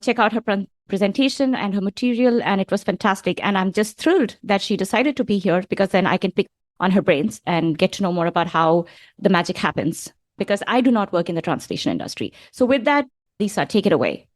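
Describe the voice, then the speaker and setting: A woman's voice: medium pitch, expressive, brisk, no echo, studio quality. Effusive woman hosting a podcast. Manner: upbeat, effusive, welcoming. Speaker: podcast host. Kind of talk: podcast intro and interview hosting.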